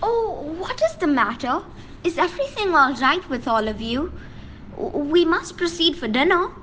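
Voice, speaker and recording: A woman acting a haughty, genteel countess in a radio play, speaking in a formal, theatrical, halting way. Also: very high voice, big pitch swings, a bit bright, a little hiss or hum, exaggerated voice. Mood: concerned.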